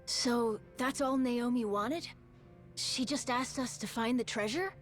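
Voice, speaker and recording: A sweet, earnest youngster with a high voice, performed by a woman for a video game. The delivery is sincere. Faint music plays behind. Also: unhurried, natural voice. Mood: earnest, reassuring. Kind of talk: video game cutscene acting.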